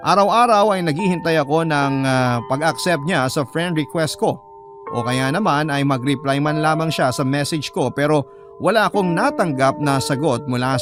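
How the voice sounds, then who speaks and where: A man's voice: mid-range voice, lightly acted. A man with a Filipino accent playing a troubled seafarer son who narrates his story earnestly for a radio drama. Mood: anxious, confiding. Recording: music bed behind the voice.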